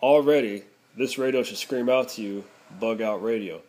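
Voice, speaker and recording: A man's voice: medium pitch, normal speed, darkish tone, low-quality recording. A man narrating a casual product review, explaining things step by step.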